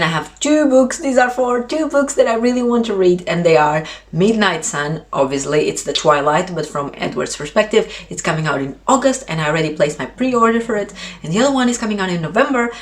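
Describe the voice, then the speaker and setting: Lower-voiced woman, expressive book vlogger. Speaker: book reviewer video host. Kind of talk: casual chat to camera.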